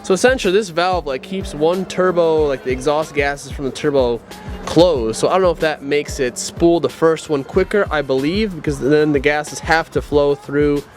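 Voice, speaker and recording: Excitable young man in a garage. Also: a little high, moderate pace, soft background music. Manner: excited, slangy, talks to viewers as "you guys". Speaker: car build vlogger. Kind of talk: casual garage chat to camera.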